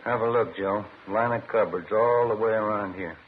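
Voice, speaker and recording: An American actor playing a plain-spoken, skeptical police detective partner, brisk and matter-of-fact, in 1950s radio drama style. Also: male voice, lowish voice, natural voice. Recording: muffled, noisy old radio recording.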